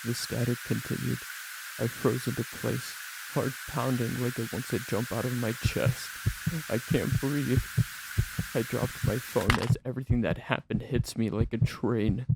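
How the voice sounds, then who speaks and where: A man narrating a first-person horror story in a tense, dramatic manner with theatrical pauses. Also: medium pitch, steady tone, gravelly, very noisy.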